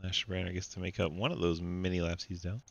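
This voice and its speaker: A man's voice: sits low, steady tone, fairly quick, vocal fry, dull audio. A man calling a sim race with playful banter, stuffy with a cold and laughing often. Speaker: sim racing commentator. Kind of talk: live race commentary and banter.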